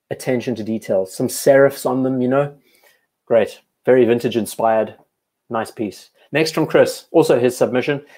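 A man's voice: mid-range voice, a little mellow, slightly rough. A man hosting a live watch stream, chatting casually and thinking aloud as he comments on viewers' photos, often restarting his sentences. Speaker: watch show host.

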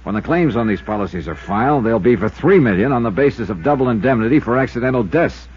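On a dull old radio recording, an actor plays a tough American male investigator with a very animated, swooping delivery. He is dry, wry and clipped, in the hard-boiled style of fifties radio drama. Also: mid-range voice, fast, slight background noise, lightly acted. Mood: brisk, firm. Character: tough, wry male insurance investigator narrating his own case. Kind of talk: 1950s radio detective drama acting and narration.

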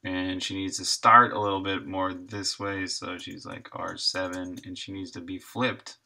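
Bright, crisp-edged American man streaming casually. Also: low-pitched, level tone, unhurried. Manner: laid-back slangy talk, chuckles easily. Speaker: indie game developer and live streamer. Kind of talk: casual live-stream chat while coding.

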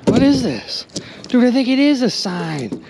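A young man vlogging from a small boat on a river, exclaiming excitedly as he talks to his viewers. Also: very high-pitched, measured pace, creaky, noisy background. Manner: animated, exclaiming, chatty with viewers. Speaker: magnet fishing vlogger. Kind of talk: excited casual narration to viewers.

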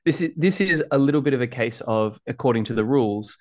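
Playful man with a lively voice. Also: medium pitch, fast talker. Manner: playful, jokey, quoting voices. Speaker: podcast co-host. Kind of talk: casual banter on a video call.

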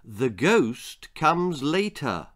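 A man voicing a bony old grandmother in a storybook, patiently and teasingly telling a ghost story in a slow, deliberate way. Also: on the high side, sing-song, over music, exaggerated voice.

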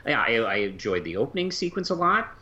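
Male co-host, lively swinging delivery, halting casual discussion, slightly dull recording. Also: medium pitch. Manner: conversational, halting, thinking aloud. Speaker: podcast co-host. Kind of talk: casual review chat.

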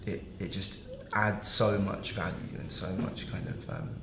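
Deep gravelly man, flat and halting. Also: echoey room, background noise. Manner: halting, searching for words. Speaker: panelist. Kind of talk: casual panel discussion.

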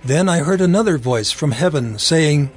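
A man's voice: on the high side, animated, normal speed, slightly rough, faint music behind. A man narrating a dramatized audio Bible as a scripted reading.

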